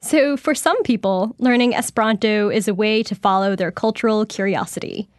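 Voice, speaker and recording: Measured woman reporter, dry studio. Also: medium pitch, no room sound. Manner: measured, clear delivery. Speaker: radio reporter. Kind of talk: scripted narration.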